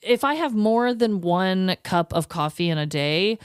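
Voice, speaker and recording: A woman co-hosting a podcast, chatting casually and reading listener stories in an expressive, gushing, slangy way. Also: mid-range voice, a little slow, smooth, dry recording, clean studio sound. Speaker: podcast co-host.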